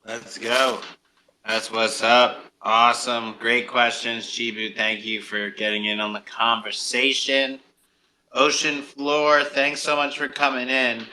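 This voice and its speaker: A man's voice: mid-range voice, level tone, slow pace, bright, forceful tone, low-quality recording. A man hosting a casual live audio discussion, speaking informally with some slang. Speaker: host.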